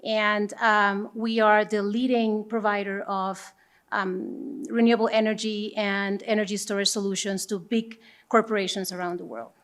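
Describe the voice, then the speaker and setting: A woman's voice: medium pitch, level tone, moderate pace. A woman from Panama, a native Spanish speaker and corporate executive, talking on a conference panel before an audience.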